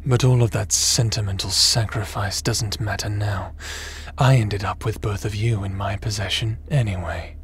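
A man playing a cold, scheming crime boss in a sci-fi audio drama, speaking in a menacing, measured, smug and theatrical way. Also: on the low side, steady tone, slight background noise, natural voice. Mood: smug, gloating.

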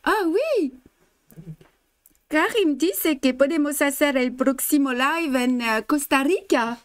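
High-voiced French embroidery teacher. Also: female voice, lively intonation, slow pace, dry recording. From France. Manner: chatty, laughs often, mixes in English and Spanish. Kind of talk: live-streamed stitching lesson. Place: indoor studio, blue backdrop.

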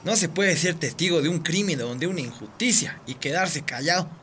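A man's voice: fairly high pitch, expressive, brisk, a bit bright, slight background noise. A man with a Bolivian accent playing a principled, earnest teenage boy in a radio drama. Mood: indignant.

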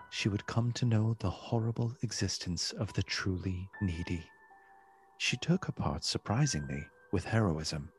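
A man narrating a literary radio play in a solemn, measured, dramatic way, with a husky voice. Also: sits low. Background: music.

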